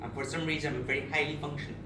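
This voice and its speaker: A man's voice: a little high, little pitch movement, racing. A man in his thirties from Barbados gives a candid, emotional personal speech to an audience, with frequent restarts. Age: 30s. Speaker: speaker at a charity event. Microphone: far camera. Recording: echoey room, captured from far off with noise.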